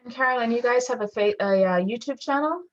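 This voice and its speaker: A woman moderating an online panel, summing up in measured, deliberate phrases over a lo-fi call. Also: on the high side. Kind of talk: reflective spoken summary at an online panel. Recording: dull, lo-fi online call audio.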